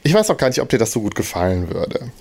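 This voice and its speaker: A man's voice: fairly low pitch, very animated, fast talker, vocal fry, a little mellow, husky. A man hosting a casual podcast chat, talking in loose, hesitant sentences with many restarts. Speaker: podcast host.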